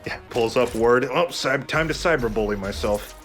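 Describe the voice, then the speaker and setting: A man's voice: mid-range voice, moderate pace, a bit bright, soft background music. A man giving casual, joking let's play commentary as he games. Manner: joking, bantering.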